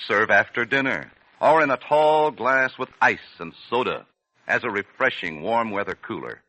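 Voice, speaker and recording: A man announcing a 1940s radio advertisement, polished and emphatic with deliberate phrasing. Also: medium pitch, animated, sharp tone, no room sound, rough recording. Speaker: radio announcer and sponsor spokesman. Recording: dry vintage 1940s radio recording.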